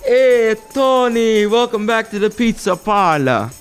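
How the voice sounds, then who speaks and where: Young man as a higher-voiced, chatty pizzeria sidekick. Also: lively intonation, measured pace, faint music behind. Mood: eager. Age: young adult. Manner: chatty, solicitous. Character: chatty, eager-to-please pizza parlor sidekick, male. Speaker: actor in a student audio play. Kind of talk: comic radio play acting.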